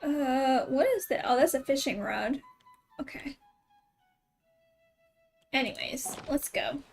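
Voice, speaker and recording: A woman's voice: high voice, slow pace, creaky, soft background music, poor audio. A woman game streamer chatting casually and playfully with viewers as she plays, teasing them. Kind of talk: casual live-stream chat over gameplay.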